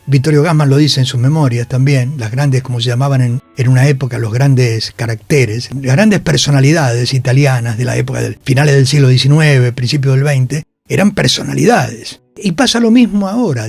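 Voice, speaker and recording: A man's voice: medium pitch, normal speed, gravelly, few pauses, darkish tone, no echo, soft background music. A middle-aged man with an Argentine accent talking in a radio interview, emphatic and opinionated, often repeating himself. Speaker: guest, theatre actor and director. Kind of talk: animated radio interview conversation. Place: radio studio.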